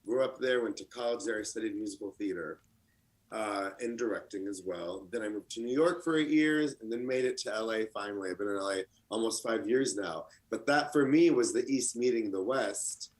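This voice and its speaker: Male contestant, 30s, from Lebanon. Lowish, relaxed, rambling. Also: fairly quick, rough recording. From Lebanon, raised in the US. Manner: relaxed, rambling with many fillers. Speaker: artist contestant. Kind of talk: casual chat.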